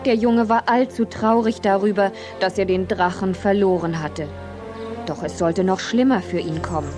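A woman's voice: medium pitch, moderate pace, music in the background. A woman reading scripted narration as the storyteller of a children's radio play.

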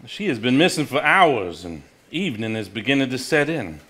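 Man acting an expressive stage-drama brother. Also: medium pitch, moderate pace. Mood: grave reading. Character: earnest adult brother reading an old journal. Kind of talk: live stage play acting.

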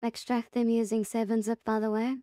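A woman hosting a casual software tutorial, explaining it step by step in a halting way. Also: a little high, level tone, dry recording, clean studio sound. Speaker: tutorial host.

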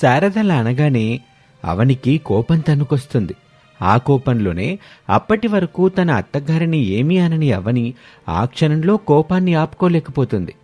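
A man's voice: fairly high pitch, sing-song, unhurried, darkish tone. A man reading scripted narration for a family story as its narrator. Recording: music behind the voice.

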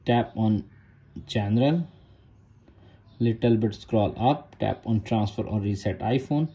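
A man's voice: fairly low pitch, measured pace, warm tone. A man narrating a phone tutorial in short, repeated step-by-step instructions in non-native English. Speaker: tech tutorial host.

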